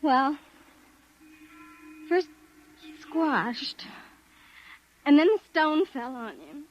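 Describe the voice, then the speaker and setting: An actress with an American accent playing a distraught, insistent young maid who is a shaken eyewitness in a 1950s radio drama. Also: female voice, high voice, expressive, extremely slow, lo-fi sound, full character voice. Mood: distraught, pleading. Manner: upset, insistent.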